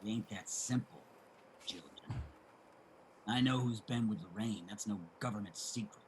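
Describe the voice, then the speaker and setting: An actor playing a bitter, wounded, gruff homeless man in a dramatic stage play reading. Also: on the low side, moderate pace, darkish tone, slight background noise, close to an everyday voice, poor audio. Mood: bitter, defensive.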